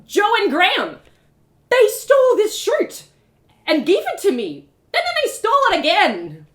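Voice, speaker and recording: A woman's voice: very high voice, huge pitch swings, measured pace, a bit bright, voice pushed up, heavily acted. Woman acting a hot-tempered, indignant young girl. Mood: shocked, angry. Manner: emphatic, indignant questioning.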